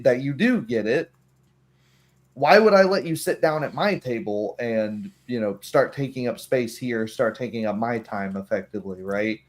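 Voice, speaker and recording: A man in a casual, opinionated discussion, arguing haltingly through hypothetical examples and imagined dialogue. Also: medium pitch.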